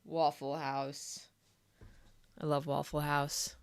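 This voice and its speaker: A young adult woman hosting a crochet live stream, chatty and friendly with viewers while sick with a sore throat, often clearing her throat. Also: sits low, little pitch movement, slow. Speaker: crochet livestream host. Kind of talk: casual live stream chat.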